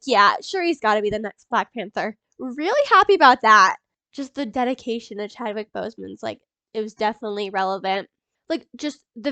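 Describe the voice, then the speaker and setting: A young woman with a high voice and a stuffy, congested nose from a cold, chatting excitedly to camera. She rambles, restarts and laughs between thoughts. The sound is close and dry, with no room around it. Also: no echo. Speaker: YouTuber. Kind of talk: casual movie reaction vlog.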